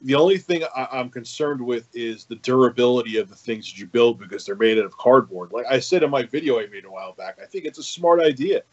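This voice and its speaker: Male guest, medium pitch, conversational explainer, a little lo-fi. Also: animated, fast talker, few pauses, darkish tone. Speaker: podcast guest, online video maker. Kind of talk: casual explanatory opinion.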